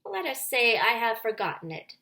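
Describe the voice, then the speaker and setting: A woman's voice: a little high, very animated, sharp tone, exaggerated voice. Woman voicing a grave, regal great lion. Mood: grave, calm. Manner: solemn, measured, authoritative.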